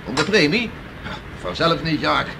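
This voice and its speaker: Rough boastful beachcomber, fairly high voice, noisy old radio play. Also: male voice, very animated, measured pace, heavily acted. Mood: boastful, emphatic. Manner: bluff, emphatic. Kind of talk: 1960s radio play acting.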